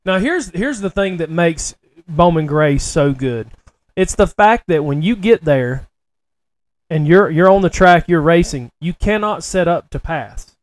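A man's voice: on the high side, lively intonation, unhurried, no echo. A man, a podcast co-host telling stories in a casual, folksy, emphatic way. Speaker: podcast co-host, racing personality. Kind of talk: casual chat, animated storytelling.

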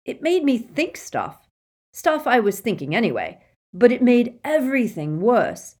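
A woman's voice: fairly high pitch, very animated, measured pace, darkish tone, pitched above the performer's own voice. A woman voicing a troubled teenage boy, speaking in a strained, pleading way. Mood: anguished. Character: anguished teenage wizard boy, shaken.

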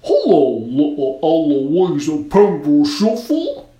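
A woman's voice: deep voice, wildly swooping pitch, takes it slowly, warm tone, roomy sound, heavily acted, rough recording. Slurred, hearty talking snowman. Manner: hearty, bossy. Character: hearty, slurring talking snowman, mischievous false best friend.

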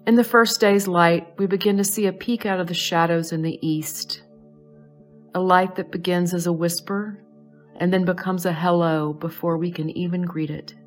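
A woman with a slightly low voice leads a guided meditation. She speaks in short, soothing, measured phrases with pauses between them, over soft background music. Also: steady tone. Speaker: astrology advisor leading a guided meditation. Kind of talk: slow guided meditation narration.

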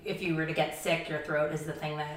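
A woman's voice: low-pitched, flat delivery, quick pace. A woman hosting an interview, gushing and enthusiastic as she asks questions. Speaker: interviewer, host. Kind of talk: casual interview. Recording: echoey, off-mic.

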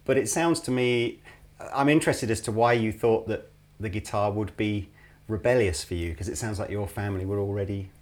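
A man's voice: lowish voice, brisk. A man hosting a casual podcast interview, asking long, rambling questions full of fillers. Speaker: podcast host.

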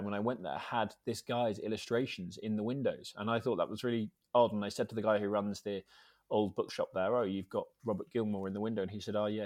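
A young man from Scotland speaks as an interview guest, his voice sitting fairly low and recorded close and dry with no room around it. He talks thoughtfully and hesitantly, with frequent ums and restarts as he works through his answers. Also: no echo. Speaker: guest, journalist and author. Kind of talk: relaxed interview talk.

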